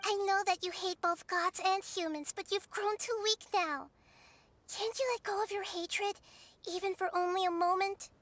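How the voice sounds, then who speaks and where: A woman voicing a wise, earnest child goddess in a video game, speaking in a soft, thoughtful manner. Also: extremely high pitch, fairly even intonation, normal speed. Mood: earnest, pleading.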